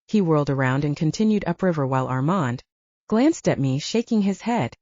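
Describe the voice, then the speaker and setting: A woman narrates an audiobook in a soft-edged, mellow voice. Her pitch moves in an animated way through the scripted prose. The recording is close and dry, with a somewhat dull top end. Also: fairly quick, dry recording.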